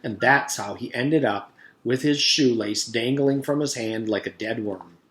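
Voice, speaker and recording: A man with a mid-pitched voice reads a children's storybook aloud in the role of a teacher. The recording is roomy, with noticeable echo around his words. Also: a little slow, fairly bright tone. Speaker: teacher reading aloud to pupils.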